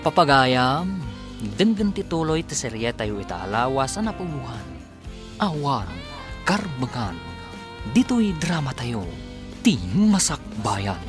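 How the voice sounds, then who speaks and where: Male drama announcer, Ilocano accent, mid-pitched, sweeping intonation over clear background music. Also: sing-song, slow. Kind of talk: dramatic scripted radio narration. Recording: music under the voice.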